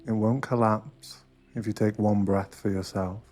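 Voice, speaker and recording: Low-voiced man guiding a meditation over music. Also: fairly even intonation, measured pace, a little mellow. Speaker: meditation guide. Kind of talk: ASMR guided meditation, scripted narration.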